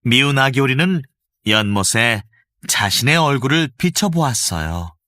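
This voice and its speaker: A man narrating a children's storybook with an expressive storytelling lilt. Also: mid-range voice, unhurried, no echo.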